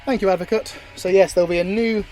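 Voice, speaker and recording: Higher-pitched man, blunt and sweary. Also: steady tone, fast, a little mellow, soft background music, poor audio. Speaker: audio creator answering listener questions. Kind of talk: casual, unscripted Q&A chat.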